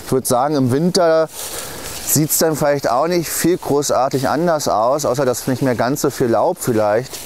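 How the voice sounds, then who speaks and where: A man's voice: mid-range voice, moderate pace, a little mellow. A man presenting a vlog on location outdoors, speaking casually to viewers and companions. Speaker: video presenter. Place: outdoors in woodland and bog. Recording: outdoor field recording with background noise.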